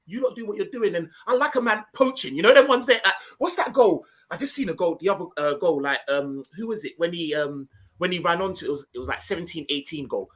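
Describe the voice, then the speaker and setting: A man's voice: high-pitched, animated, quick pace, fairly bright tone. A young man, chatting animatedly about football as a podcast co-host, full of slang and repeating himself for emphasis. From Ghanaian heritage. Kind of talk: casual football chat.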